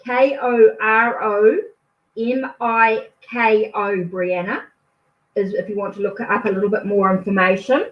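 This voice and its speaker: A woman's voice: medium pitch, steady tone, unhurried. New Zealand woman giving a tarot reading. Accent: New Zealand English. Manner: reassuring, ends phrases with a questioning "okay?". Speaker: tarot reader. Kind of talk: tarot card reading for a viewer. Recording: echoey, distant, dull lo-fi sound.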